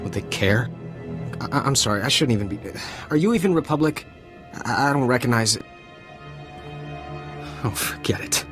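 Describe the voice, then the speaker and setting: An actor playing a desperate, war-weary deserter soldier in a video game, stammering and pleading nervously. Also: male voice, medium pitch, animated, moderate pace, long pauses, music in the background, lightly acted. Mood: guilty, resigned. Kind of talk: dramatic video game acting.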